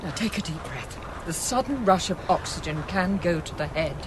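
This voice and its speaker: An actress playing an anxious, pleading woman in a wartime audio ghost story, with a hushed, urgent manner. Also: lowish voice, expressive, normal speed, lightly acted. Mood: pleading, concerned. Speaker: actor in an audio drama. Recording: clear background ambience noise.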